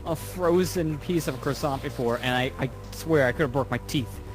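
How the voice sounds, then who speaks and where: A man's voice: medium pitch, moderate pace, poor audio. A man co-hosting a let's play, chatting casually with a teasing, joking manner. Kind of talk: casual gaming banter. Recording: voice over game music. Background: video game music.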